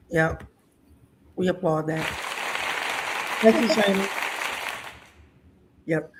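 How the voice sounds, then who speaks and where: A woman's voice: lowish voice, extremely slow, crowd noise, background noise. A woman in her sixties hosting an interview show, chatty and laughing often. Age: 60s. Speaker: host. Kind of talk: casual interview talk.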